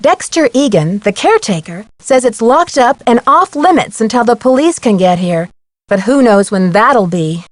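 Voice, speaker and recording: The higher, close and dry voice of a level-headed girl sleuth reporting clues in a mystery adventure game, acted by a woman. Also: lively intonation, normal speed, no echo, close to an everyday voice. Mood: matter-of-fact. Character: young female amateur detective, plucky, level-headed. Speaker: game voice actor. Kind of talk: scripted game dialogue.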